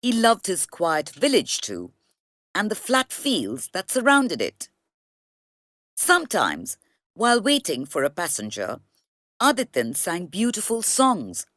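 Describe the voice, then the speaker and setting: A woman narrating a children's storybook read-aloud in a deliberate, expressive storytelling manner. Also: mid-range voice, no echo, studio quality.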